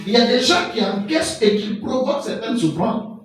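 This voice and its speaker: High-voiced preacher in an echoing hall. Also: male voice, brisk. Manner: animated preaching with everyday examples. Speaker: pastor. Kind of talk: sermon. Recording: echoey hall from far off with music.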